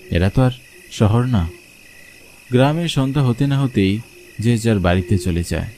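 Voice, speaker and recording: A man's voice: lowish voice, mellow, slight background noise. A man narrating a scripted horror story in the first person, as a storyteller.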